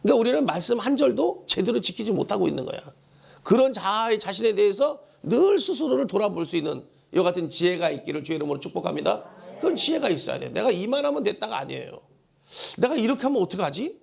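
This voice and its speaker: A male pastor delivers a church sermon in a high, worn voice. It is hoarse and heavily creaky. He exhorts emphatically, driving key phrases home by repeating them. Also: sing-song, quick pace, darkish tone. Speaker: pastor preaching a Sunday sermon. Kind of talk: Korean church sermon with call-and-response prompts. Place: church service.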